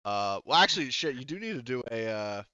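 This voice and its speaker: A man's voice: medium pitch, very animated, a little fast, sharp tone, clear, resonant tone, dry recording, dull audio. A man speaking casually as a tabletop game master, thinking aloud hesitantly as he works through the rules.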